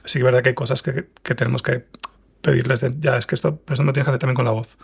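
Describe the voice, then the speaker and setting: Guy from spain talking quick in an interview, super creaky husky voice, explains and rephrases. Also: mid-range voice, steady tone. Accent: Spanish from Spain. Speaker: guest, audio fiction writer and director. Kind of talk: casual explanatory interview chat.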